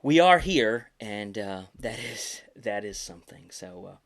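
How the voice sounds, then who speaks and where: A man's voice: on the low side, expressive, measured pace. A man in his thirties hosting a podcast, telling behind-the-scenes stories casually in a hesitant, self-deprecating way. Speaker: podcast host and puppeteer.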